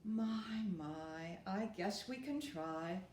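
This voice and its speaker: A fond, cautious old grandmother, as a woman storyteller plays her, speaking gently and very slowly. Her hushed, indulgent delivery belongs to a storybook tale and rings in a roomy space. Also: medium pitch, expressive, slight background noise. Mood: cautious, hesitant. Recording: roomy, echoing room.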